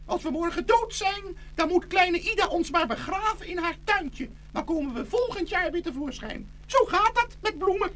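Tiny, very high-voiced narcissus flower. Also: female voice, lively intonation, normal speed, hardly any pauses, fairly bright tone, slight background noise. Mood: resigned, gentle. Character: kindly frail little narcissus flower, calm and grateful. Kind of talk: children's fairy-tale audio play.

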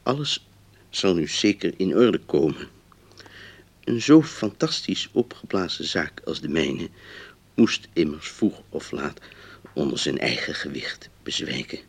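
An actor playing a trusting, hopeful condemned prisoner who reads out his letter in a measured, earnest voice for a radio drama. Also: deep voice, expressive, vocal fry, full character voice.

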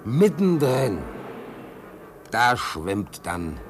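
A man's voice: mid-range voice, big pitch swings, extremely slow. A man acting an anxious, talkative seaman in a German radio play, urgently repeating himself, with dramatic intensity. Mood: mounting horror. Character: anxious, talkative seaman called Piep, in storm and shipwreck. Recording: noisy old radio play recording.

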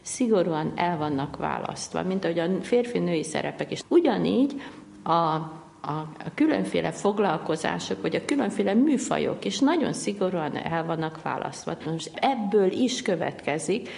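A middle-aged woman from Hungary speaks as a radio interview guest. Her voice sits low and carries a gravelly fry. She explains patiently but stops often to hesitate and restart. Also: expressive, darkish tone. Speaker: linguist guest.

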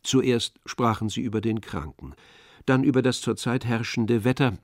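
A man narrating a literary story in a measured, descriptive manner. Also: mid-range voice, no echo, studio quality.

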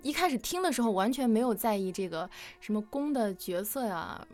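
A woman's voice: on the high side, lively intonation, a little fast, darkish tone. A woman hosting a casual interview chat in a playful, giggly manner. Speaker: host.

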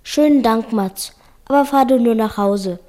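A woman's voice: on the high side, measured pace, mellow, natural voice. A woman playing a small, brave schoolboy in a radio drama, with a hesitant, earnest manner. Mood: brave, reassuring. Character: small, brave, timid-hearted injured schoolboy.

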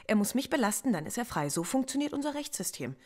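An actress playing a philosophy professor under interrogation in a radio play, answering in short, cool, guarded replies. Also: female voice, on the high side, big pitch swings, fast, a little mellow. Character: composed female philosophy professor, stubborn and proud. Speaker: suspect in interrogation.

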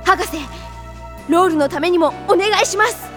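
High-pitched earnest boy hero. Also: normal speed, fairly bright tone, faint music behind. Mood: earnest, resolute. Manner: earnest, resolute, heroic. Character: brave, earnest young robot boy hero. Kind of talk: 1990s anime audio drama acting.

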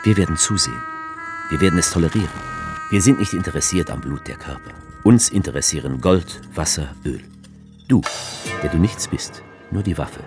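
A man's voice: sits low, animated, normal speed. An actor playing a grim male writer, narrating a radio drama in a stark, incantatory recitation. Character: grim male writer recounting a staged war. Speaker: author character narrating. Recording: music under the voice.

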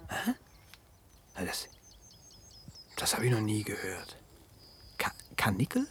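Hushed, wary man in a radio play. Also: fairly low pitch, huge pitch swings, takes it slowly, full character voice. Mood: wary, hesitant. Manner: hushed, hesitant, partly whispered. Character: wary male worker in a futuristic animal park. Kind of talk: German science-fiction radio play acting.

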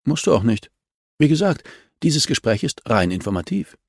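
A man voicing a cool, relentless policewoman in a crime audiobook, calm and insistent as she accuses a suspect. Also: mid-range voice, moderate pace, no echo, studio quality, voice pushed up. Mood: smug.

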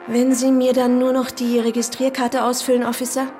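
Woman acting a nosy motel desk lady in a radio play, high crackly voice, music playing behind. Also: little pitch movement, fairly quick, warm tone, lightly acted. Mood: breezy, curious. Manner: breezy, nosy service chatter. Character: chatty, curious female motel receptionist.

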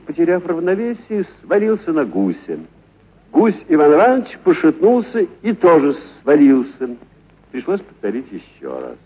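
A man's voice: on the high side, husky, narrow, tinny audio. A man narrating a literary story for radio expressively and theatrically, lingering on certain words.

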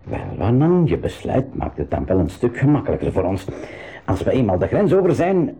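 A man's voice: mid-range voice, wildly swooping pitch, brisk, mellow. A man playing a courteous crew leader in a radio drama, speaking politely and hesitantly but firmly. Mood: calm, considerate. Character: courteous, firm uncle leading a submarine crew. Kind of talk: 1980s school radio drama acting.

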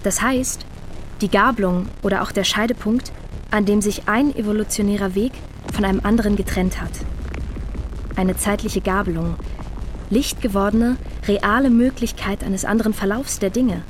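An actress plays a composed female guide in a theatre piece. She speaks in measured, carefully phrased lines at a medium pitch, with faint noise behind her. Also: steady tone, natural voice, rough recording. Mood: measured, reflective. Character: female auction bidder and gallery guide, composed, welcoming. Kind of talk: theatrical scripted narration.

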